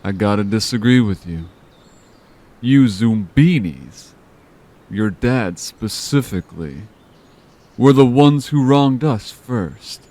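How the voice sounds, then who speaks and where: Guy doing a villain leader, slow and smooth, taunting, calm and manipulative, audio drama. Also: fairly low pitch. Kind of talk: amateur audio drama acting.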